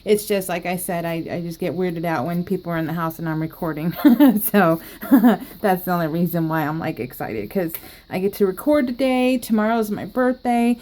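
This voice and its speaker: A woman's voice: on the low side, normal speed, a little mellow. A woman chatting casually in a crafting vlog, rambling and laughing often as she talks. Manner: giggly and rambling, with frequent laughs and restarts. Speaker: planner crafting vlogger.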